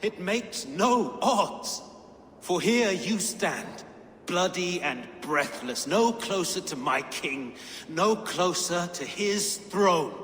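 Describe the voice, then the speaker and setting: An actor playing a proud, defiant Saxon warrior facing death, speaking slowly and solemnly. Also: male voice, high-pitched, animated, a bit bright, in a large hall, a little hiss or hum, heavily acted. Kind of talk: game cutscene voice acting.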